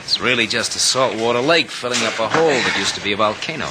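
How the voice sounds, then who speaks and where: An actor playing a smooth, menacing, educated young gunman in a radio drama, courtly and florid in his speech. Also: mid-range voice, animated, quick pace, natural voice. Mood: gloating, florid. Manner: courtly, poetic, mockingly polite. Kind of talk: 1950s radio drama acting. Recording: noisy vintage radio recording.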